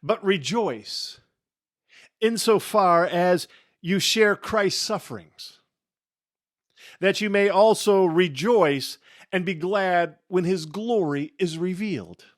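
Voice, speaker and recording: A man with a fairly high voice reads scripture as a dramatic performance. His delivery is very slow and solemn, leaning on key phrases and leaving deliberate pauses between them. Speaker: Bible reader.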